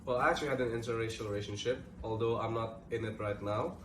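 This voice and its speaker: A man's voice: mid-range voice, fast talker. A young man from Indonesia speaking casually in a panel discussion, loose and full of fillers. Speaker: co-host. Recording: roomy echo, distant mic, audible room noise.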